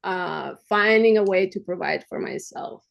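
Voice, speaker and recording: A woman from Armenia, raised in Russia, speaking English as a guest, earnest and halting, sharing her story with light laughter. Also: lowish voice, vocal fry. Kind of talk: personal testimony in a conversation.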